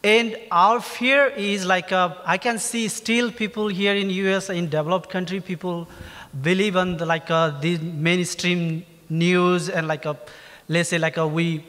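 A man with a Nepali accent asking an audience question at a stand microphone, halting and full of filler phrases. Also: high voice, fairly even intonation, a bit bright. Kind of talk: unscripted public question. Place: theatre, standing below the stage. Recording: reverberant theatre hall.

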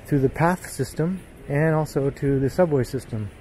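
A man giving an unhurried, casual running commentary while walking through an indoor mall, filmed on a handheld camera. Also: a little high, a little hiss or hum, rough recording. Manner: relaxed, unhurried commentary with pauses. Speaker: walking tour vlogger. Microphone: handheld gimbal camera.

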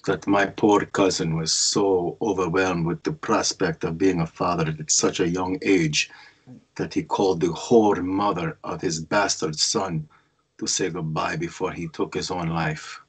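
A man's voice: deep voice, fairly even intonation, unhurried, breathy edge, rough recording. A man at a screenplay table read, playing a cold, bitter killer without remorse.

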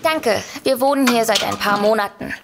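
A woman's voice: fairly high pitch, moderate pace, creaky, background noise. An actress playing a polite, composed lady author in a comedic audio drama, courteous and matter-of-fact. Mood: gracious hostess.

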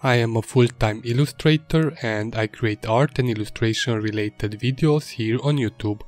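A man whose first language is Hungarian, calmly narrating his illustration process as a voice-over. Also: mid-range voice, normal speed. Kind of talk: voice-over narration of a drawing video. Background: faint music.

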